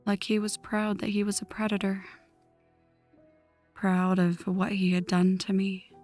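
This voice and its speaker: A woman voicing a vengeful, newly turned vampire in a hushed, breathy ASMR story narration, slow and dramatic. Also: mid-range voice. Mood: brooding, haunted. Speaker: audio roleplay narrator. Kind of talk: ASMR scripted first-person story narration. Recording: music under the voice. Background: background music.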